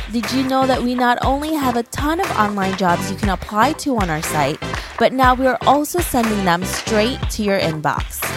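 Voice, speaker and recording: A woman hosting a podcast, speaking in an enthusiastic, bubbly way as she introduces her guest and asks questions. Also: mid-range voice. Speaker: podcast host. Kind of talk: upbeat podcast hosting and ad reads.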